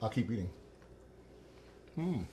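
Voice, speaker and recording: A man's voice: on the low side, sing-song, slow pace, mellow, a little hiss or hum. A middle-aged man hosting a cooking show in a home kitchen, chatty and joking as he demonstrates a recipe.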